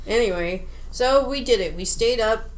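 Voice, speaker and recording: A woman's voice: on the high side, normal speed, rough recording. A woman co-hosting a podcast, chatting casually and informally. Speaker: podcast co-host. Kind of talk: casual game discussion.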